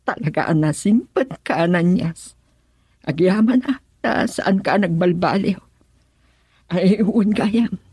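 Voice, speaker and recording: An elderly village mother in a radio drama. She is husky and close in a dry studio, stammering and plaintive, grateful and easily embarrassed. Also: lowish voice, slow, mellow, dry recording, exaggerated voice. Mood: apologetic, grateful. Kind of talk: Ilocano radio drama acting.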